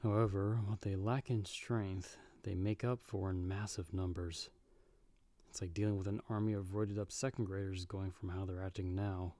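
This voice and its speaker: A man acting in an ASMR audio roleplay as a seasoned, magic-wielding monster hunter, talking the listener through danger in a measured, dry, reassuring way. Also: sits low, mellow, dry recording. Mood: dry, relieved. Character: calm, seasoned male monster hunter with magic, guiding a partner.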